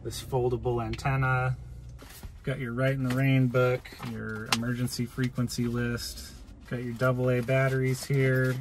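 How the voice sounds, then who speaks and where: A man's voice: medium pitch, level tone, normal speed, background noise. A man in his thirties giving a casual, plain-spoken hands-on gear walkthrough from inside a parked vehicle. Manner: plain-spoken, informal explaining. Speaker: video host showing gear. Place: inside a parked van cab.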